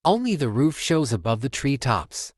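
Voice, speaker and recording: A man reads a children's story aloud as a storytime host. His pitch moves up and down in a lively way, and the sound is dry and close. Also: moderate pace, no echo. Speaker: storytime channel host reading children's books.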